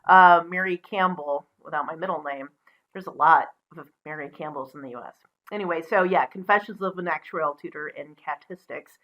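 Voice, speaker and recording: A woman presenting as a storyteller, mixing expressive storybook reading with casual asides. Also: low voice, a little fast, rough recording.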